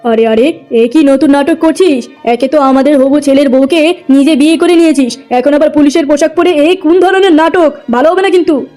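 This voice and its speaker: A woman's voice: sits high, fairly quick, dark tone, soft background music, natural voice. A woman voicing a bullying, greedy middle-aged male village landlord in a cartoon story, mocking and threatening. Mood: indignant. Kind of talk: cartoon moral story acting.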